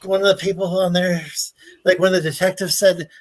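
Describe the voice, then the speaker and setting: Halting man in casual podcast chat. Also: high voice, little pitch movement. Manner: halting, full of restarts and "like".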